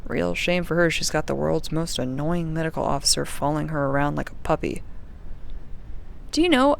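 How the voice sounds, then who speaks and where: Woman playing a creaky, sardonic young spacefarer. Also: sits low. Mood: sardonic, grumbling. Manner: dry, sarcastic, sweary, grumbling. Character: sardonic, frustrated young female spaceship crew member logging updates. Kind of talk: confessional audio-log drama monologue.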